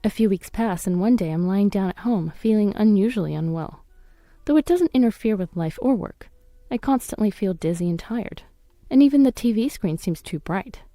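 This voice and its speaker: Mellow woman narrating a scripted story. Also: mid-range voice, animated, normal speed, no room sound, clean studio sound. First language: English. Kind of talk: scripted first-person drama narration.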